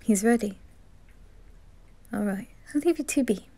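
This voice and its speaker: A woman voicing a polite, attentive male butler in an ASMR roleplay, soft and whispery, with a courteous, deferential manner. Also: on the high side, lively intonation, a little slow, noisy background. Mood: courteous, gentle.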